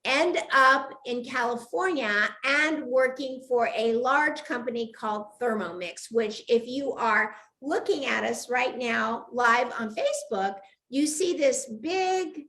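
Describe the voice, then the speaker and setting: A woman's voice: fairly high pitch, lively intonation, unhurried, few pauses, fairly bright tone, slight background noise. An older woman hosting a chatty livestream interview, effusive and welcoming with her guest. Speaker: live show host. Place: video call livestream.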